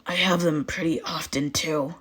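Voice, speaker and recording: A woman voicing a gruff, prickly teenage boy in a story, blunt and grumbling but awkwardly caring. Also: sits low, fairly even intonation, moderate pace, a bit bright, a little hiss or hum.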